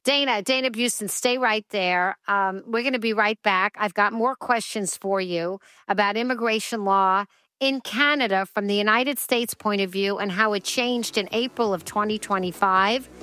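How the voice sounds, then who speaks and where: A woman's voice: medium pitch, normal speed, sharp tone, no room sound. A woman hosting a radio talk show, interviewing a guest in a chatty, effusive manner with lots of emphasis.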